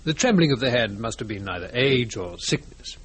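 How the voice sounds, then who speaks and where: Lively-pitched, formal colonel. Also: male voice, brisk, husky. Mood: reflective, faintly disapproving. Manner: formal, precise, polite. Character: genteel, fussy retired British colonel, society president. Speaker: narrator and chairman. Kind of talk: old-time radio drama storytelling.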